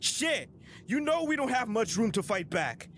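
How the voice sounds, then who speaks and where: A man's voice: extremely high pitch, very animated, moderate pace, a bit bright, slight background noise, exaggerated voice. A man playing a conflicted, guarded young male agent in an audio drama, earnest and defensive in his delivery. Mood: frustrated.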